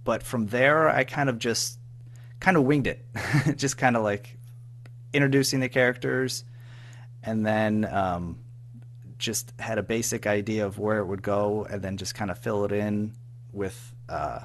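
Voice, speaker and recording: Guy being interviewed, lowish voice, kinda hesitant and modest, bit of hum on the line. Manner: hesitant, halting, self-effacing. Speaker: guest, audio drama writer and producer. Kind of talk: casual interview chat.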